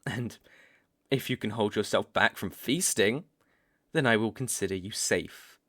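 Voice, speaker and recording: Guy playing a stern british vampire hunter, asmr roleplay, slow n deliberate, threatening but kinda protective, sighs a lot. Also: lowish voice, fairly bright tone. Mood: menacing, testing. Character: stern young male vampire hunter, menacing yet reluctantly protective.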